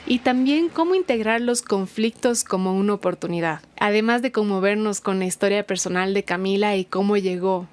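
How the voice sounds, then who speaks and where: A woman hosting a podcast, reading an introduction and asking interview questions in an upbeat, welcoming way. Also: medium pitch. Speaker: podcast host.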